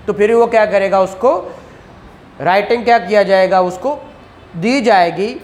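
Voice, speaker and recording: Man, law lecturer, slow read-aloud passages, acted courtroom lines, faint noise. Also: high voice. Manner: explains in Urdu, reads English statute text slowly aloud; acts out courtroom exchanges.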